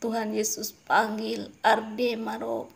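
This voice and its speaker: Woman, prophetic preacher, speaking in tongues, slightly high voice, breathy. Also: completely flat delivery. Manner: solemn, drawn-out delivery with whispered passages.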